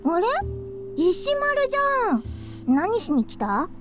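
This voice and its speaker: A woman's voice: very high voice, huge pitch swings, moderate pace, full character voice. A woman voicing a bubbly, cheeky little sister in an anime visual novel. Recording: loud playful game music behind.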